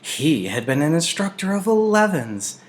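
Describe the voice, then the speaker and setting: A man voicing a frail, gossipy, slightly prim old woman in a storybook, confiding and animated. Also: a little high, normal speed, pitched above the performer's own voice. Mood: admiring.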